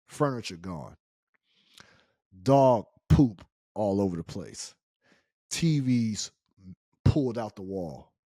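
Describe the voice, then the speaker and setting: A middle-aged American man hosting a casual story time, speaking in an animated, indignant and emphatic way. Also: mid-range voice, no room sound, clean studio sound. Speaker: story-time show host.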